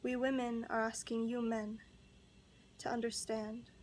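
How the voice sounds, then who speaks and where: A young woman performing a slam poem in an earnest, pleading way, with measured, deliberate phrasing. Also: on the high side, little pitch movement, a little mellow, slight background noise. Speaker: spoken word poet. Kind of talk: slam poetry recitation.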